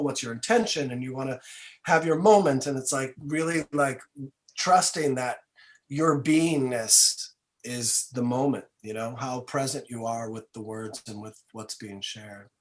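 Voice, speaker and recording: Male podcast guest, slightly high voice, reflective and sincere, conversational delivery. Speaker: interview guest, filmmaker.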